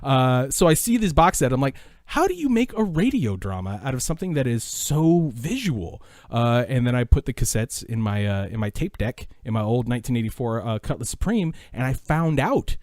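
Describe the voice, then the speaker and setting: A man's voice: mid-range voice, sing-song, moderate pace, few pauses, a little mellow, dry recording, studio quality. A middle-aged American man giving reflective, enthusiastic answers as a podcast guest, speaking earnestly and with emphasis. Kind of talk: reflective, enthusiastic interview talk.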